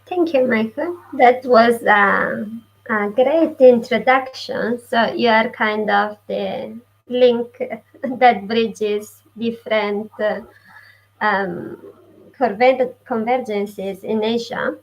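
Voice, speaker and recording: A woman interviewing a guest, asking polite, unhurried questions. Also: a little high, gravelly, poor audio. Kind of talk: casual interview.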